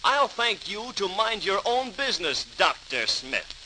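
High-voiced, haughty slum landlord. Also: male voice, big pitch swings, measured pace, poor audio. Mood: haughty, defiant. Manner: haughty, dismissive. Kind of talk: old-time radio acting.

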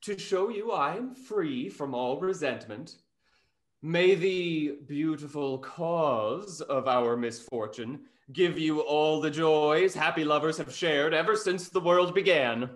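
A young, witty gentleman of a Restoration comedy, acted by a man. His voice sits a little high. He speaks in ornate, mock-earnest lines with a snarky edge. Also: slow. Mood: wry. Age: young adult. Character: witty, snarky gentleman friend of a London rake. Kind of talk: stage acting in a Restoration comedy over video call. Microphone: video call.

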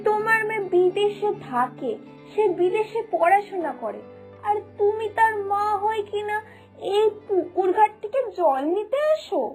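A scolding village neighbour from a cartoon, acted by a woman, has an extremely high-pitched voice. She is bossy and opinionated, nagging like someone who always knows better. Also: darkish tone. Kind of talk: dramatised cartoon dialogue.

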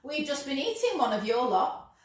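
A woman's voice: high-pitched, very animated, moderate pace. A woman doing a stubborn little farm-mad girl. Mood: eager. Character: stubborn, excitable five-year-old farm-mad girl. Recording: echoey room, distant mic.

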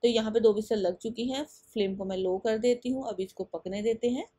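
A woman talks viewers through a recipe in a patient, instructive way, step by step. Her voice is mellow and soft-edged. The sound is close and dry, with no room around it. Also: fairly high pitch, fairly quick, dry recording. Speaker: cooking channel host.

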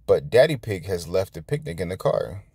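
A man reading a children's storybook aloud as a storytime host, expressively and with drawn-out words. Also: low-pitched, sing-song, dark tone, husky. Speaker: storytime channel host and reader.

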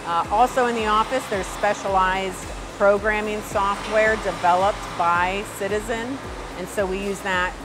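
A woman's voice: medium pitch, steady tone, unhurried, background noise. A middle-aged woman, a company president, talking casually in an interview with lots of fillers and restarts, on a lapel mic. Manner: conversational, many fillers and restarts. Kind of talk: shop tour interview. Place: studio set, then machine shop floor. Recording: music bed under the voice.

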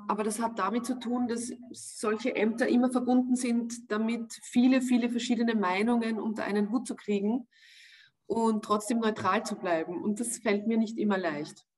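Austrian actress, 40s, reflective interview guest on a video call, measured and searching for words. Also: female voice, on the high side, darkish tone. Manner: thoughtful, searching for words with many pauses. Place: home room indoors.

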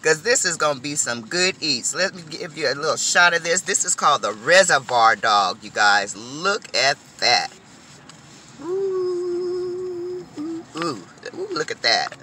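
Middle-aged woman food vlogger, bright and lively, talks straight to viewers from her car. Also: sits low, a little slow, slight background noise. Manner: chatty, animated, addresses viewers as y'all. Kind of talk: casual eating vlog. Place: inside a car.

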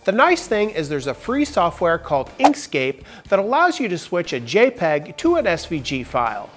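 Upbeat man with a lively voice. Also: high-pitched, moderate pace, over music. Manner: upbeat, emphatic sentence openers. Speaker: woodworking channel host. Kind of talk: scripted tutorial narration. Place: large workshop with wooden walls. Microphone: lapel mic.

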